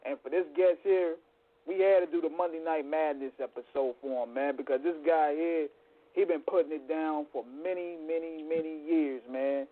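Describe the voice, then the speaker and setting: A man's voice: a little high, steady tone, moderate pace. A man hosting a casual internet radio show, greeting listeners and reading sponsors in a hype, slangy way. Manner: hype, slangy, shout-outs. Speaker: podcast host. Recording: tinny phone-like audio.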